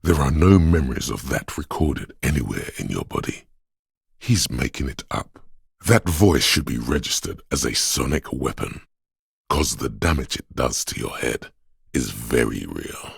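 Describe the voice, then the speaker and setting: A man voicing a grim, wry inner voice of pain in a video game, narrating to the listener in a husky, deliberate, ominous way. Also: a bass voice, expressive, no room sound, studio quality, exaggerated voice. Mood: dry, knowing. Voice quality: gravelly, husky. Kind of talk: scripted second-person game narration.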